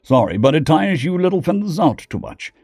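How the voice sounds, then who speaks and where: Man playing an animated, easygoing spaceship leader. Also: on the high side, brisk, no echo, studio quality, pitched above the performer's own voice. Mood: friendly. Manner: casual, good-natured.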